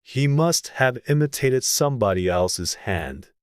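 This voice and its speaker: A pompous storybook king, voiced by a narrator, emphatic and blustering yet muddled. The voice sits at a middling pitch and is recorded very dry. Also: expressive, measured pace, clean tone, no room sound, natural voice. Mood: self-satisfied.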